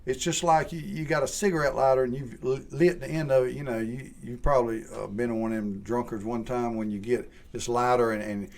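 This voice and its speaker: A Southern man in his late forties talks things through in a loose, rambling way. He stumbles over his openings and repeats words while he gathers his thoughts. His voice sits at an ordinary middle pitch for a man. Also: steady tone, a little fast, vocal fry, hardly any pauses, a little mellow. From Southern US, Georgia. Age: 40s. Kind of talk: casual chat.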